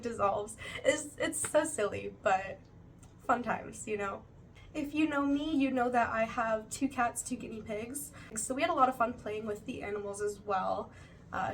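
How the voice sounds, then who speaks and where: Young woman vlogger, chatty, filler-heavy, fairly high voice, a little stuffy, recorded a bit off-mic with faint noise. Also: distant mic. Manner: chatty, uses many fillers like "like" and "you know". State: slightly stuffy nose, still recovering. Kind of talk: casual monthly recap vlog.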